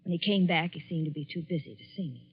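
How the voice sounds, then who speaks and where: An actress playing a jilted, despairing young woman in a 1940s radio suspense drama, earnest, measured and grimly resolute. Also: low-pitched, husky. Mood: wounded, despairing. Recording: dull 1940s radio broadcast.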